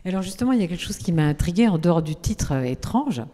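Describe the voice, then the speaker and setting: Une modératrice à la voix grave et douce. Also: female voice, lowish voice, lively intonation, fast, mellow. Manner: probing, conversational questions. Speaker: moderator. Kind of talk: panel moderation. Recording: long hall reverb.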